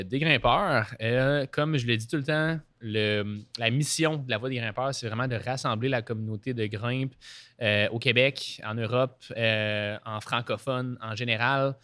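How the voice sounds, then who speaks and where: A man's voice: medium pitch, unhurried, pushed and bright, dry recording. A man with a Québécois French accent hosting a climbing podcast, talking casually with many fillers and restarts. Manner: filler-heavy, restarts, frequent laughs. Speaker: podcast host.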